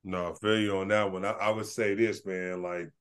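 A man's voice: deep voice, little pitch movement, normal speed, smooth. A man hosting a podcast interview, casual and agreeable, often saying "you know what I'm saying". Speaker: podcast host.

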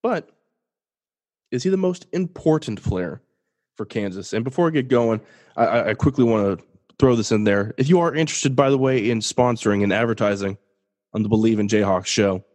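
A man's voice: mid-range voice, animated, moderate pace, long pauses, a little mellow. Young male podcast host, stuffy-nosed, casual and jokey sports chat. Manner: casual, jokey, restarts and self-deprecating asides. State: congested, sniffly. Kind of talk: solo sports podcast commentary.

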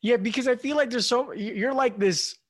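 A man's voice: very high-pitched, fairly quick. A man in his forties hosting a casual interview, asking long, rambling questions full of fillers. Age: 40s. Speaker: podcast host.

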